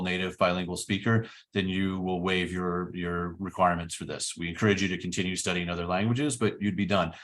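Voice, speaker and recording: A man's voice: low-pitched, a bit bright. A middle-aged man giving an informational presentation about a study program, explaining step by step with frequent fillers. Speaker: program director.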